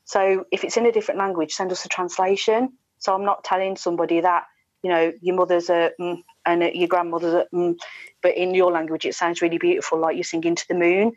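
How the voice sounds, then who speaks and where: British woman, lowish voice, chatty and emphatic, candid interview talk, dry and slightly dull video-call sound. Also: flat delivery, brisk, no room sound. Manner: chatty, emphatic, with mimicked asides. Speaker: radio presenter, interview guest. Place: indoor room at home, video call. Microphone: wired earphone headset on video call.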